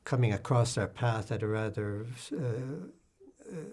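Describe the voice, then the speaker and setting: An older man speaking as an interview guest, recounting his experience in a halting, measured way with formal wording. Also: medium pitch, expressive, a little mellow, husky. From United States, born in Sweden to Latvian refugees. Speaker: interview guest, political activist and lecturer. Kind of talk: reflective conversational account in a public discussion.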